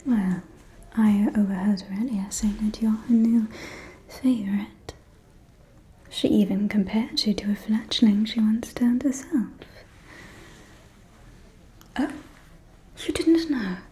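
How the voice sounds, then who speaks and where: A woman's voice: mid-range voice, measured pace, mellow, natural voice, rough recording. A woman playing a gossipy, flamboyant vampire socialite in an ASMR roleplay, whispering in a coy, theatrical drawl.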